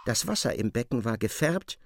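A man's voice: medium pitch, lively intonation, moderate pace, vocal fry, over loud music. A man narrating a literary radio play in a dramatic, scripted style.

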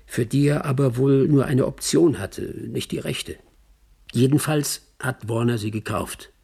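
A radio drama witness looking back on someone he knew. His voice is very raspy and creaky. He recounts things in a chatty, slightly hesitant way. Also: medium pitch, mellow. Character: male witness recalling an old acquaintance. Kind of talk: radio drama monologue, conversational.

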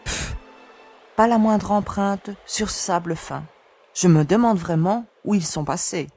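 Woman as determined female search leader, lower-pitched voice, resolute, reasoned delivery, audio drama. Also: measured pace, dark tone, faint music behind. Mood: worried. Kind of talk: French audio drama acting.

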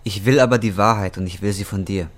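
Deep-voiced, brooding young man played by an actor. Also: fast, lightly acted. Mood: suspicious, hurt. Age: 20s. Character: troubled, bitter young man on drugs, brooding narrator. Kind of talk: dramatic first-person narration and radio drama dialogue.

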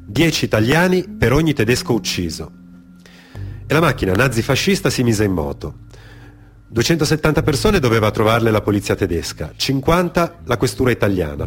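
Male radio-theatre storyteller over faint music. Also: mid-range voice. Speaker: storyteller, actor. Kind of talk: dramatic narrative monologue for radio theatre.

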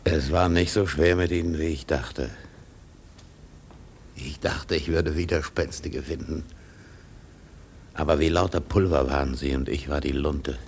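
Grim defiant sailor for radio play, super low voice, slow and grandiose. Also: male voice, slight background noise, full character voice. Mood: defiant, scornful. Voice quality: gravelly. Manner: brooding, declamatory. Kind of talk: German radio drama acting.

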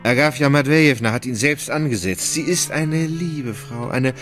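An actor playing a gentle, melancholy and sluggish gentleman in a German radio play, with an emotional, wavering delivery. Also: mid-range voice, normal speed, faint music behind, lightly acted. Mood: tipsy, effusive. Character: gentle, sluggish, melancholy Russian gentleman, sentimental and easily moved.

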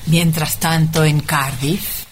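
A woman narrating a scripted radio drama in a measured storytelling manner. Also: low-pitched, steady tone. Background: music.

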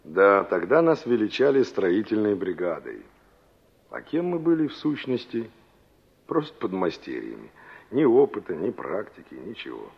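A young engineer looking back on his past in an old radio play. His voice is low and a little rough, with very animated intonation, and the recording is dull and dated. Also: normal speed, full character voice. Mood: reflective, restless. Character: young male engineer, former carpenter, reminiscing and inquisitive. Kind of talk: 1960s Soviet radio drama acting.

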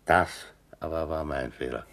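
A man's voice: rumbling low, normal speed, fairly bright tone. A man acting in a radio play as a talkative, boastful middle-aged sea captain who teaches chess and tells leisurely anecdotes. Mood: wry, reminiscing. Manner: chatty, boastful, chuckling raconteur.

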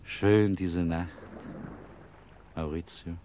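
An actor playing an easygoing, wistful vagabond in a German radio play, with an unhurried, musing delivery. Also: male voice, low-pitched, fairly even intonation, warm tone, a little hiss or hum. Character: easygoing vagabond Mario, wistful lover of quiet.

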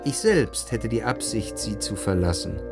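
An actor playing a reflective first-person literary narrator in a radio play, measured and introspective. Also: male voice, on the low side, big pitch swings. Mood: pained, introspective. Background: music.

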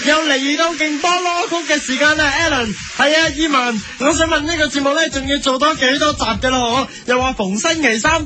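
A man's voice: very high-pitched, noisy background. A man playing a chirpy, gushing music-show host in a parody broadcast, with a Hong Kong accent.

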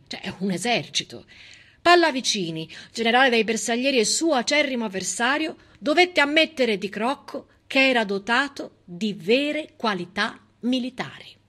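A woman delivering a theatrical storytelling monologue in a dramatic, emphatic way with deliberate pauses. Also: sits high, expressive, pushed and bright. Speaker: stage actress and narrator.

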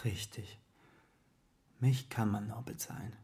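An actor playing a troubled, self-loathing young man from a poor background in a German radio play, with hesitant, dramatic pauses. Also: medium pitch, darkish tone, husky. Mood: bitter, ashamed. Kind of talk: German radio play acting with inner monologue.

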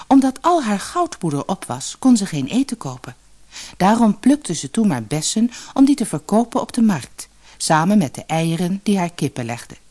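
A woman reading a fairy tale aloud as an expressive scripted storyteller. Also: on the low side.

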